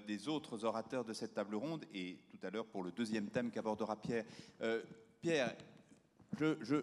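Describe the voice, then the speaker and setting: A man moderating a round table, posing long questions with many fillers and restarts. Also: mid-range voice. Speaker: panel moderator. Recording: reverberant conference hall.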